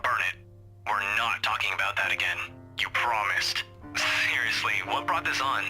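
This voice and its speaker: An actor playing a gruff young man, a loyal and concerned childhood friend, in a visual novel game. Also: fairly low pitch, animated, normal speed, sharp tone, soft background music, poor audio. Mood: embarrassed, gruff.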